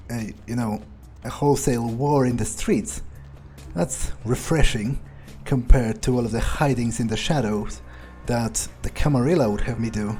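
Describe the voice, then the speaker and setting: A man roleplaying a cynical, amused, bloodthirsty vampire in a video game, husky, musing and sardonic in an improvised way. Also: mid-range voice, mellow, close to an everyday voice. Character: cynical, bloodthirsty, amused young male vampire, foul-mouthed.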